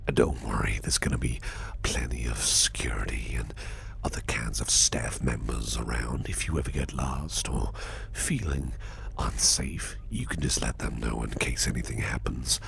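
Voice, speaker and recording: A man playing a deep, gravelly whispering boss. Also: noisy background, exaggerated voice. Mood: protective, soothing. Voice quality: breathy whisper, very low and gravelly. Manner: unhurried, reassuring, personal attention to listener. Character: attentive businessman boss, doting, softly flirtatious. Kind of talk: ASMR roleplay, soft whispering.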